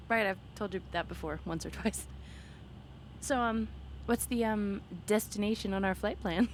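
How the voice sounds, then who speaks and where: A woman playing an excitable, playful young woman on a date, in a conversational, halting roleplay style with teasing, gushing delivery. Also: on the high side, slight background noise, lightly acted. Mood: nervous excitement. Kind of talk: ASMR roleplay acting, one side of a dialogue.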